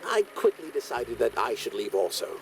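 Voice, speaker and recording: An actor voicing an earnest gentleman in a video game, carefully recounting his testimony. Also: mid-range voice, sing-song, normal speed, gravelly, slight background noise.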